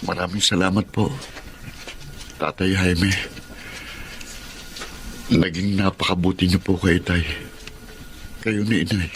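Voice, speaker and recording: An actor playing an emotional, devoted adult son in a Filipino radio drama. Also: male voice, deep voice, fairly even intonation, slow, pauses often, a bit bright, a little hiss or hum. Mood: grateful, subdued. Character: grieving, grateful adult son.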